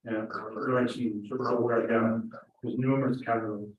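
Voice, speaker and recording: A man with an Irish accent speaks in an even, little-varying tone, answering as a county engineering director at a meeting. He sounds far from the mic in a very echoey room, slightly muffled. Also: mid-range voice, a little slow, a little hiss or hum. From Ireland. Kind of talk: informal answers at a council meeting. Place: meeting room heard over a video meeting.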